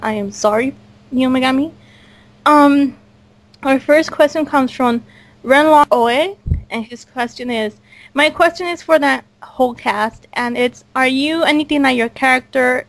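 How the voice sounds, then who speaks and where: Female host for an informal online cast interview; chatty, voice on the higher side. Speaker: host and audio drama creator. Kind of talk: casual online group interview.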